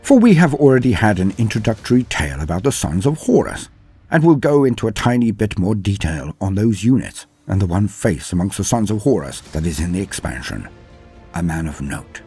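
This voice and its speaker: Male lore narrator, theatrical and florid, deep husky voice with lively swings in pitch. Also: a little fast. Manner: theatrical, florid wording, self-deprecating. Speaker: lore channel host and narrator. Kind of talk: scripted lore narration with chatty asides. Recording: music under the voice. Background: background music.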